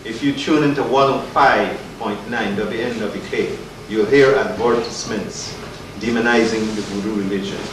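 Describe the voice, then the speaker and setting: A man introduces a speaker with earnest, rhetorical emphasis. His voice is picked up from across a large, very echoey hall with a steady hiss of noise behind. He sounds set back from the microphone. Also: fairly low pitch, normal speed, hardly any pauses. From Guyana. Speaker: event host. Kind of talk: community lecture introduction. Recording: echoey hall, distant mic, noisy.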